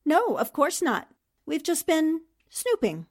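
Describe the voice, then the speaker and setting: A woman playing a high-voiced, shrewd newspaperwoman sleuth. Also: huge pitch swings, slow pace, exaggerated voice. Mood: composed. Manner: precise, methodical explaining. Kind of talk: 1940s radio mystery acting.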